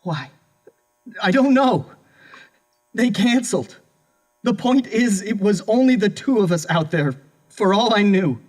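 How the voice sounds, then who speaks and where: Man acting a distraught young husband, high-voiced. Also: lively intonation, a little mellow. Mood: guarded, evasive. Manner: halting, stammering, pleading. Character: distraught young husband under interrogation for murder. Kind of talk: audio horror drama acting.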